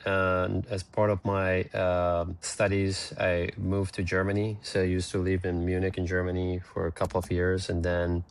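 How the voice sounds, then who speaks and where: Man, very deep, gravelly, blunt, remote call. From Iran. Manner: blunt, plainspoken, filler-heavy. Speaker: startup founder, podcast guest. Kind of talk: casual interview storytelling.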